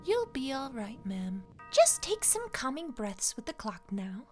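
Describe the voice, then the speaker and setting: A woman's voice: high-pitched, very animated, unhurried, over music. A woman playing a caring, anxious maid in a gothic horror radio play. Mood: soothing, reassuring.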